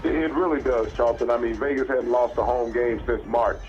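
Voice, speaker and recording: A man's voice: medium pitch, steady tone, brisk, a little mellow, muffled recording. A man from the American South co-hosting a sports talk show in a folksy way, often stumbling over his words. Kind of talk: casual sports talk radio banter.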